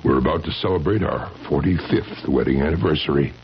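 An actor playing a courteous, hesitant elderly husband with a gravelly voice in a 1970s radio drama. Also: male voice, a bass voice, over loud music. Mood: courteous, amused. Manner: polite, halting. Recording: muffled, hissy vintage radio broadcast.

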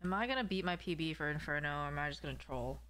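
A woman's voice: sits low, animated, quick pace, fairly bright tone, slight background noise. A young woman chatting casually and slangily as a live streamer, a non-native English speaker, close on a desk mic at home. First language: not English. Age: young adult. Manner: informal, slangy. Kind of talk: casual live-stream chat, reading and answering viewers. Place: small indoor room at home. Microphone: desk microphone on an arm, close.

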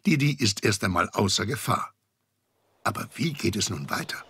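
A man narrating a children's audio drama as an expressive, wry storyteller. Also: fairly low pitch, moderate pace, husky, full character voice. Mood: wry, suspenseful.

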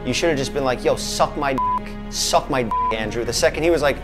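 A man's voice: medium pitch, animated, brisk, over loud music. A man in his thirties hosting in a barbershop, teasing his friends in brash, profane banter while he cuts hair. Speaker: barber and host. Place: barbershop set with brick walls.

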